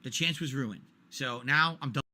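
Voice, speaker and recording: A man's voice: medium pitch, moderate pace, bright, forceful tone. A man in his forties ranting during a stream, exasperated and repetitive, hammering his points home. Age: 40s.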